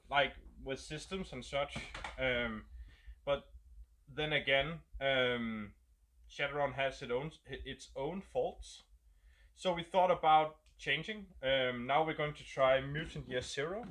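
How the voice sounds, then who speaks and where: A man's voice: medium pitch, fairly bright tone, slight background noise. A man with a Danish accent, a game designer casually explaining a new roleplay setup while thinking aloud and searching for words.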